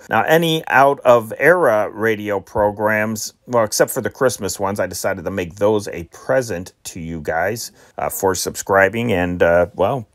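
A man's voice: fairly low pitch, no room sound, clean studio sound. A man hosting an old-time radio channel, announcing programs to listeners in a conversational, slightly hesitant way. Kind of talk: casual scripted announcement to listeners.

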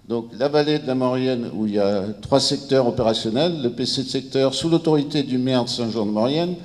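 A mature man addresses a conference in a formal, semi-improvised way. His voice sits at a medium pitch and he often stops to search for words. The handheld microphone carries long reverberation from the large room. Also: darkish tone. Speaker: civil protection director presenting at a conference. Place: large indoor conference room with seated audience. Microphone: handheld microphone held near the mouth. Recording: reverberant hall sound over handheld microphone.

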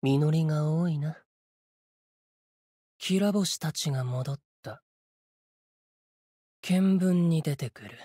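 A man voicing a solemn, cryptic sword spirit warrior in a Japanese game, with measured, portentous, archaic phrasing and a breathy voice. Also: on the high side, dark tone, no room sound, studio quality. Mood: cool, imperious. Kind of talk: Japanese game character voice lines.

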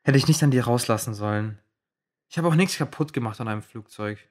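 A man's voice: medium pitch, a little fast, close to an everyday voice. A man playing an awkward, defensive teenage boy with a casual, slangy manner in a children's audio drama. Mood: sheepish.

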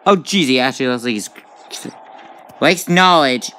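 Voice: medium pitch, lively intonation, normal speed, a bit bright, a little hiss or hum. A gamer giving casual let's play commentary on a tablet game, reacting aloud with frequent exclamations. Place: indoors at a tablet.

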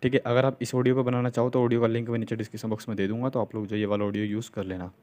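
A man's voice: mid-range voice, flat delivery, rapid-fire, darkish tone. A young man narrating a casual step-by-step tutorial, often dropping in check-in tags and filler phrases. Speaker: video editing tutorial host. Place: voice-over on a screen recording.

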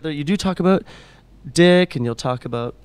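A man's voice: fairly high pitch, normal speed, darkish tone, clean tone, no room sound. A man interviewing a guest with playful, teasing questions into a handheld mic.

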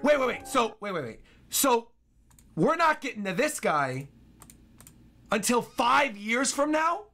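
A man in his twenties giving excitable, casual live commentary as a reaction streamer in a small room at home. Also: extremely high pitch, a little slow. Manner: excitable, animated. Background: game trailer music.

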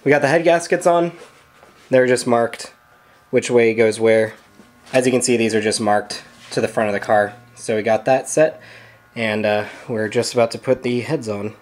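A man's voice: mid-range voice, normal speed. Young man talking through a garage build. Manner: relaxed, explaining as he works, many fillers. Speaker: garage vlog host. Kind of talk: casual chat while working on an engine. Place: home garage. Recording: noisy garage recording.

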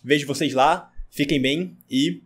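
A man's voice: fairly high pitch, measured pace, a little hiss or hum. A young man with a Brazilian accent giving effusive, casual reaction commentary as a video host. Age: young adult. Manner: enthusiastic, effusive. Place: small bedroom gaming setup.

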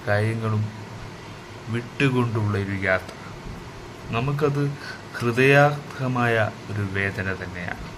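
Slow, solemn Malayali man commenting. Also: mid-range voice, noisy background. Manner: solemn, halting phrases. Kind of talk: reflective commentary.

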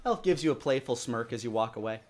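A man in his thirties narrating a live tabletop game as dungeon master, improvising in a halting way. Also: mid-range voice, lively intonation, a little mellow. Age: 30s.

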